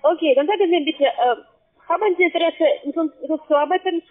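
Female call-center agent, high voice, foreign accent, non-native broken phrasing, halting scripted pitch, tinny phone audio. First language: not German. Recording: tinny, narrowband phone line.

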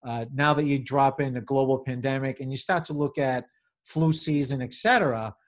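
A middle-aged man answering interview questions on a video call as a venue manager, in halting corporate phrasing with repeated words. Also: medium pitch. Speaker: convention center general manager, interview guest. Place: small office, indoors. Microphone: computer or webcam on video call.

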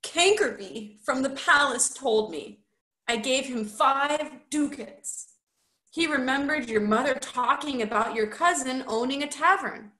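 A woman's voice: sits high, animated, unhurried, pushed and bright, roomy sound, pitched above the performer's own voice, full character voice, rough recording. A woman voicing a frightened young boy in a storybook, tearful and stammering, trying to sound fierce. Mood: miserable.

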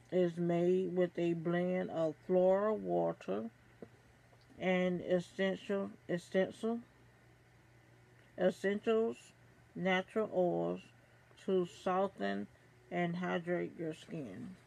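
A middle-aged woman reads product descriptions aloud in a small room at home. Her delivery is very slow and halting, with stumbles over names. The voice is heavily creaky and stays fairly flat. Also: on the low side, rough recording. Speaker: beauty box reviewer. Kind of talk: product review, reading aloud from an info card.

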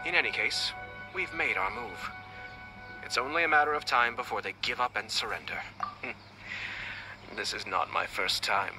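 A man voicing a composed young nobleman from a game, plotting with a calm, lowish voice. He talks in a measured, quietly confident way, over loud game music. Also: close to an everyday voice, low-quality recording.

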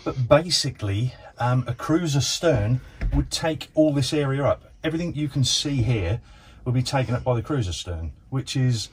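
A man's voice: mid-range voice, animated, normal speed, hardly any pauses, slight background noise. Chatty man in his fifties. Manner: chatty, rambling with ums. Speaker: vlogger. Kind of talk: casual tour narration. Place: narrowboat cabin, small indoor space. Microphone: handheld action camera.

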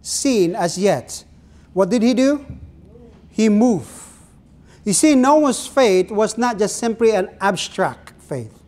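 A man's voice: high voice, lively intonation, darkish tone. A middle-aged man from the Philippines with a Filipino accent, preaching an earnest sermon from a pulpit in a small church and putting questions to his listeners. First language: Ilonggo. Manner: earnest preaching, drawn-out phrases, asks the congregation questions. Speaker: pastor.

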